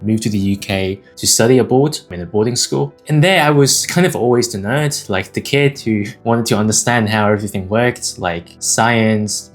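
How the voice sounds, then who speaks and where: A man in his twenties from Hong Kong, telling his personal story to camera in a candid, conversational and informal way. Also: fairly low pitch. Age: 20s. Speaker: video creator and AI agency founder. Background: acoustic guitar music.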